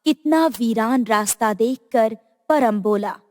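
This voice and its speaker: Slow high-voiced woman narrator with reverb. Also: darkish tone, studio quality. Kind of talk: scripted horror story narration.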